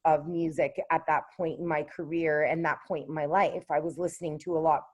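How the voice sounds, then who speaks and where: A woman with a low, contralto-leaning speaking voice talks casually as a guest on a radio interview. She pauses often, circles back with fillers and restarts, and speaks in a lowish register. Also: flat delivery, a little mellow, dull audio. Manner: hesitant, with many fillers and restarts. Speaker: singer-songwriter guest.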